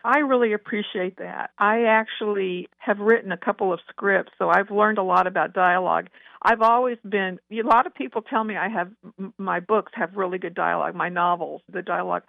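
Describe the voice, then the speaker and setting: Female novelist guest, gravelly edge, chatty storyteller on a thin phone-like connection. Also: mid-range voice, brisk, no room sound. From Texas. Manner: chatty, anecdotal. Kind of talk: conversational interview answers. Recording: narrow phone-like line.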